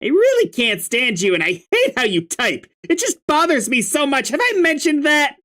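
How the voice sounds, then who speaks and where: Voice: mid-range voice, lively intonation, normal speed, a bit bright, pitched above the performer's own voice, close to an everyday voice. A reader voicing an irritable young male troll who rants and hurls insults in exasperation. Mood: irritated. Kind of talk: reading a webcomic aloud with voices.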